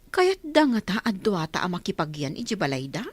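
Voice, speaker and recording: A woman playing a gentle, long-suffering village mother in a radio comedy-drama, humble, pleading and motherly. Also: lowish voice, big pitch swings, fairly quick, slightly rough, no echo. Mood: motherly, concerned.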